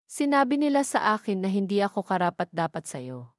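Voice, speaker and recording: A woman's voice: medium pitch, sing-song, brisk, no room sound, clean studio sound, lightly acted. A woman voicing an earnest, pleading man devoted to his love in an audio romance drama. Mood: sad, confessing.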